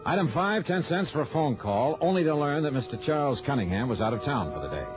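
An actor playing a tough, skeptical insurance investigator in a radio detective drama, terse and wry, with an American accent. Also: male voice, fairly high pitch, big pitch swings, quick pace, music in the background. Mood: brisk narration. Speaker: lead detective and narrator. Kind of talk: mid-century detective radio acting. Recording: dull old radio recording.

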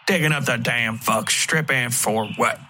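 A man's voice: mid-range voice, a little slow, background noise, lightly acted. Man voicing an irate, griping small-town man. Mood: exasperated griping. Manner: exasperated, cursing complaints. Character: irate, griping, foul-mouthed wiry man in rural town.

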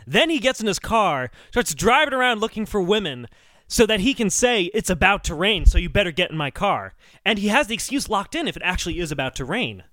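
A man's voice: sits high, very animated, brisk, bright, forceful tone, no echo. A man co-hosting a comedy podcast in casual banter, playfully over-analysing as he talks.

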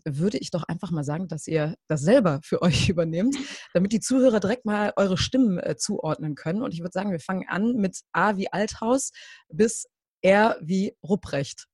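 A woman hosts a podcast with an upbeat, chatty manner. Her voice sits a little low and has a husky edge. The sound is dry and close. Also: darkish tone, dry recording. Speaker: podcast host. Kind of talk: podcast interview, casual chat.

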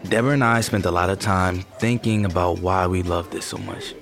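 A man playing an eager, placating pitchman selling a series idea in an audio fiction drama. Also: fairly even intonation, a little fast, gravelly, a bit bright, a faint crowd. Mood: earnest pitch.

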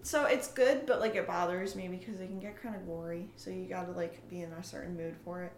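A woman's voice: on the low side, steady tone, brisk, a little hiss or hum. A young adult woman chatting casually and telling stories in an enthusiastic, emphatic way. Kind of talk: casual chat. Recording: echoey room.

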